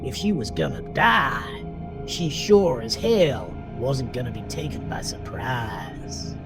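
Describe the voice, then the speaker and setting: A narrator delivers a scripted horror tale with suspense. The reading is slow and dramatic, with lively pitch movement over a clear music bed.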